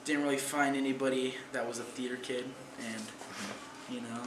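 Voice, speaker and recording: A man's voice: mid-range voice, little pitch movement, normal speed. A teenage male theater student giving casual, hesitant, informal interview answers. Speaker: high school theater student. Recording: echoey room, clearly noisy.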